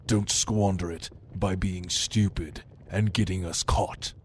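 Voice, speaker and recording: Man acting a slow, deep, sinister demon. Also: fairly even intonation, a little hiss or hum. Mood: commanding, proud. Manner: slow, deliberate, menacing, mocking. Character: sinister, prideful male demon, a prince's cunning right hand. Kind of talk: ASMR roleplay monologue to the listener.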